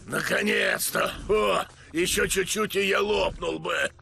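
A man playing a vengeful evil mask spirit in a cartoon game, gravelly, bombastic and indignant. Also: high voice, measured pace, sharp tone, a little hiss or hum, full character voice. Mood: furious, vengeful. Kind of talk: cartoon video game dubbing.

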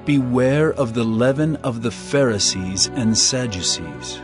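A man's voice: mid-range voice, expressive, unhurried, music in the background, close to an everyday voice. An actor playing an earnest male religious teacher in a dramatized scripture reading, with emphatic delivery. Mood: reproving.

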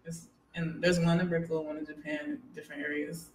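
A young woman chatting casually on a podcast, talking in a flat, monotone way with little energy. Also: low-pitched, a little fast, poor audio. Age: young adult. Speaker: blind date guest. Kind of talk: casual chat. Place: small podcast studio, indoors. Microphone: studio microphone held close.

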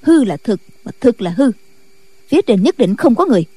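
A woman's voice: fairly high pitch, sing-song, a little fast, warm tone, slightly rough, no room sound. A woman voicing a stern, impatient senior Buddhist nun who speaks in curt commands.

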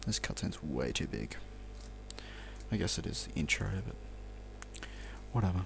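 Deep, creaky Australian man commentating a game. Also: big pitch swings, normal speed, a little hiss or hum. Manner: rambling, offhand muttering, swears casually. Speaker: let's play commentator. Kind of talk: casual off-the-cuff video game commentary.

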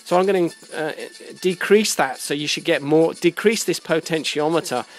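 A middle-aged man giving an informal hands-on tutorial in a halting, explanatory way, often correcting himself. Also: fairly high pitch. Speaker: electronics hobbyist demonstrator. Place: indoors at a workbench. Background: music playing from a small speaker at times.

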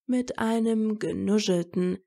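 Slow, mellow woman narrator with creaky edge. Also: mid-range voice. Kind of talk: scripted narration of romantic fan fiction audiobook.

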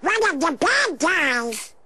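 A hot-tempered cartoon duck in a video game. The voice is an extremely high, garbled quack that swoops about. It is sharp and forceful. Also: male voice, big pitch swings, takes it slowly, exaggerated voice. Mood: indignant.

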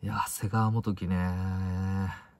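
A man's voice: low voice, little pitch movement, takes it slowly, a little mellow. A man in his twenties giving casual solo football commentary, stressing the starts of phrases and then trailing off. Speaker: football fan vlogger. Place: inside a parked car.

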